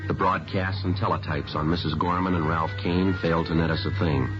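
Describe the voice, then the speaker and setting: An American actor playing a businesslike police detective in an old radio crime drama, clipped and matter-of-fact. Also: male voice, deep voice, fairly even intonation, fairly quick, lightly acted. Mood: deadpan narrating. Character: terse, businesslike male police detective sergeant. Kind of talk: 1950s radio crime drama acting and narration. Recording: dull vintage radio recording with music.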